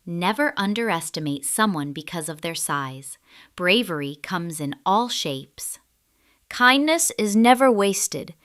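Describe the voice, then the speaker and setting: A woman storyteller reading a scripted children's story in an expressive, deliberate way. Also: lowish voice.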